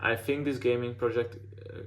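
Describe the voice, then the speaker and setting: A man's voice: medium pitch, level tone, moderate pace, fairly bright tone, slight background noise. A man who is not a native English speaker, giving casual portfolio feedback as a mentor with lots of "like" fillers and restarts.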